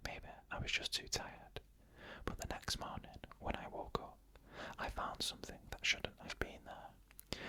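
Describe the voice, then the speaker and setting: Whispering ASMR horror storyteller. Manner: soft unvoiced whisper throughout, slow measured phrasing.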